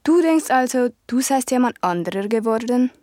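A woman's voice: fairly high pitch, expressive, normal speed, darkish tone, slight background noise, natural voice. A woman playing a large, sleepy caterpillar who questions bluntly and sternly in a literary audio drama. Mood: questioning. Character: large blue caterpillar, languid, stern questioner smoking a water pipe.

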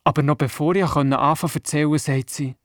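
Man playing a curious Swiss German grandson, high-pitched. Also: fairly even intonation, fairly quick, no echo, clean studio sound, lightly acted. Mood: storytelling. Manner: inquisitive, childlike storytelling. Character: curious, imaginative grandson narrating his adventures. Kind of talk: scripted first-person radio play narration.

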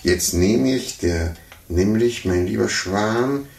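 An actor playing a pompous, condescending sore loser, stammering and blustering in a 1950s radio play. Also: male voice, lowish voice, slow, gravelly, a little mellow. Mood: smug, lecturing. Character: pompous adult man, a blustering, sore-losing chess player. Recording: roomy, noisy 1950s radio recording.

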